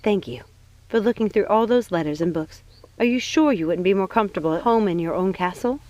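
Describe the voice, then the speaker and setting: A woman's voice: medium pitch, fairly quick, darkish tone, no echo, highs cut off, close to an everyday voice. A woman voicing a worried, protective young witch in an audiobook, speaking earnestly and with care. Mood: quiet, caring. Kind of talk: audiobook character voice.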